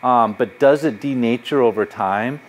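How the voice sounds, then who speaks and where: Animated middle-aged man lecturing in a hall. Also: mid-range voice, normal speed, slight background noise. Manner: animated, gesturing, conversational and anecdotal. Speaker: psychology professor. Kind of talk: public lecture with audience questions.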